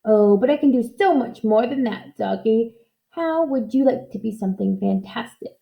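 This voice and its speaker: Woman putting on a high, playful little old witch. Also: expressive, normal speed, vocal fry, reverberant, muffled recording. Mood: coaxing, boastful. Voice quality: high, screechy. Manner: theatrical, chanting nonsense rhymes. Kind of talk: storybook character voice.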